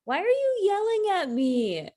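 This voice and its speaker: A woman's voice: very high-pitched, big pitch swings, a little slow, mellow, exaggerated voice. A woman acting a blunt, sarcastic ex-girlfriend in her thirties in a conversational comedy script reading. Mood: indignant.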